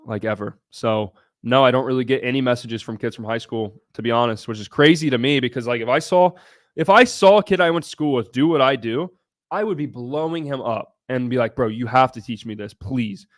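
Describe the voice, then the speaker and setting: A man's voice: medium pitch, brisk, dry recording, studio quality. Brash young man chatting on a livestream. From Southern Ohio. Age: young adult. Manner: brash, blunt, swears freely. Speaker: livestream host. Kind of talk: casual livestream chat answering viewers.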